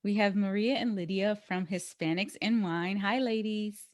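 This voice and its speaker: A woman's voice: medium pitch, steady tone, moderate pace. A woman hosting a casual interview, laughing often and asking questions. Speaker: host.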